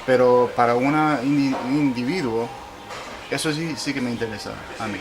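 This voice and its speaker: A man's voice: mid-range voice, poor audio. Hesitant man asking interview questions. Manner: halting, self-correcting questions. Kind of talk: casual interview.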